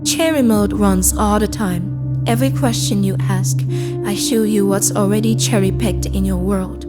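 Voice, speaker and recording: A woman playing a calm, impassive AI assistant, narrating a scripted audio drama in a measured, detached, deliberate way. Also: medium pitch, lightly acted. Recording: cinematic music behind. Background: cinematic score.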